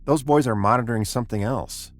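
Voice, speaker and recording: An actor playing a commanding spaceship captain, terse and grim, in the style of old-time sci-fi radio drama. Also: male voice, mid-range voice, quick pace, close to an everyday voice. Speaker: actor and story narrator.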